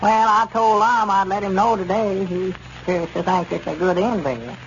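Casting: gullible rural Southern shopkeeper, higher-pitched folksy drawl, earnest and fretful, noisy vintage radio serial sound. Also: male voice, moderate pace. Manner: drawling, folksy, ungrammatical speech. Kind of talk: 1930s radio serial acting. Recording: dull, noisy 1930s radio recording.

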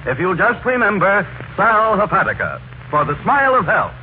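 Through a thin, slightly noisy old radio recording comes a male announcer. His voice sits fairly high and moves up and down animatedly as he delivers a scripted advertisement. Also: very animated, moderate pace. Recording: tinny, noisy vintage radio broadcast.

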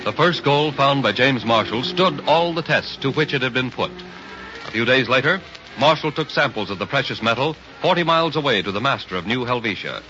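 A man's voice: medium pitch, lively intonation, brisk. Muffled 1930s radio narrator, a man. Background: dramatic music.

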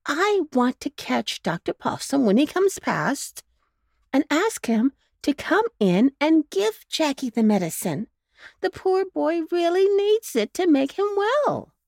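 A fretful, sighing mother dog from a storybook, voiced by a woman reader, pitched very high and pushed louder than the narration. She frets in slow, emphatic bursts with a lot of rise and fall, close and dry. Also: very animated, vocal fry, no echo, full character voice.

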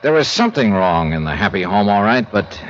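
A man's voice: lowish voice, big pitch swings, a little fast. A man playing a matter-of-fact insurance investigator in a 1950s radio detective drama, speaking in a clipped way. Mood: musing. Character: wry, hard-working male insurance investigator, matter-of-fact. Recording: muffled, noisy 1950s radio recording.

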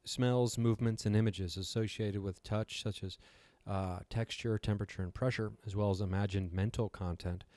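A man with a slightly raspy voice, giving a casual, rambling talk with wry, self-deprecating asides. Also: fairly low pitch, fairly even intonation, moderate pace, few pauses, darkish tone, dry recording. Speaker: host giving a talk on meditation. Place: hotel room.

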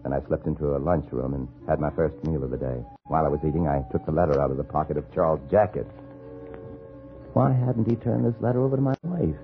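A man's voice: extremely low pitch, quick pace. An actor playing a bitter, driven framed man in a 1950s radio thriller, speaking in a terse, brooding, intense way. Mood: tense, brooding. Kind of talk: 1950s radio thriller acting and first-person narration. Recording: muffled vintage radio, music behind.

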